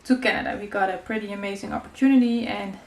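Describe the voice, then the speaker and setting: A woman's voice: mid-range voice, steady tone, fast talker, a little mellow. A woman in her thirties with a Dutch accent, whose first language is Dutch, chatting casually while she works through a paper craft tutorial. She talks in a loose, friendly way, with many fillers, and now and then she stops to search for a word. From Netherlands. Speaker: crafting channel host. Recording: echoey room with faint noise.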